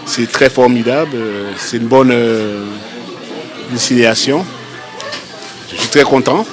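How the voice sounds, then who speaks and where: Older antillean customer guy, mid voice, chatting to camera, music loud behind. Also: mid-range voice, slow. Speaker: customer interviewed. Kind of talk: casual vox pop.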